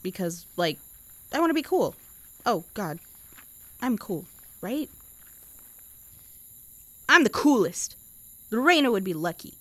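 A woman playing an anxious, chatty American high school girl who rambles nervously into an audio diary in a podcast drama. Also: sing-song, slow pace, long pauses, no room sound. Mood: excited, wigging out. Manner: nervous, rambling, self-deprecating.

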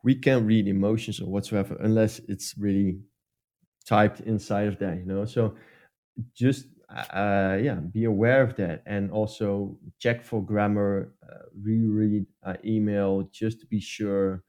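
A man's voice: on the low side, fairly even intonation, unhurried, warm tone. A man from the Netherlands with a Dutch accent, co-hosting a casual advice chat and talking hesitantly with many fillers and restarts. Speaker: podcast co-host.

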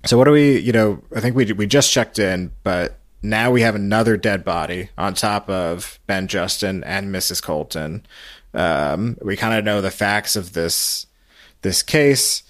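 A man's voice: lowish voice, measured pace, dry recording. A man hosting a recap podcast in casual, hesitant, rambling chat. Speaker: podcast host.

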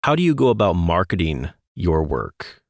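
A man's voice: deep voice, wildly swooping pitch, normal speed, dry recording, studio quality. A man hosting a podcast, talking in a casual, conversational interview style.

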